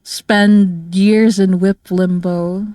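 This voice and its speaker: A woman's voice: medium pitch, steady tone, extremely slow, mellow, dry recording. A woman from the Philippines chatting casually on a livestream, rambling and giggling with lots of fillers. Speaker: streamer and artist.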